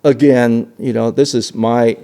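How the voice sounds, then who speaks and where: An older man from Hong Kong giving an oral history interview, speaking haltingly with frequent repeated words and laughs. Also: medium pitch, steady tone, vocal fry, dark tone.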